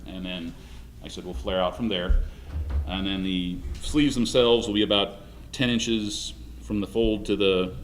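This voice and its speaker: A man with a low, slightly hoarse voice walks through a costume demonstration in a halting way, often restarting his sentences. He sounds set back from the mic in an echoey convention room, with faint ambient noise around him. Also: steady tone, recorded from a distance. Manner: halting, many restarts and fillers. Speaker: convention panel presenter. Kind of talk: live costume-making demonstration.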